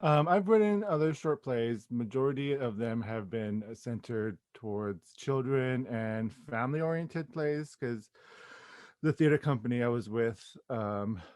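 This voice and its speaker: A man's voice: medium pitch, unhurried, a little mellow, dry recording. American man chatting casually, dry close sound. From Los Angeles, United States. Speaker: playwright, interview guest. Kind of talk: casual interview conversation.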